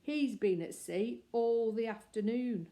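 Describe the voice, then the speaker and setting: A woman's voice: on the high side, slow, warm tone, voice pushed up. A woman reading aloud voices a worried, firm middle-aged father who speaks in a reassuring way.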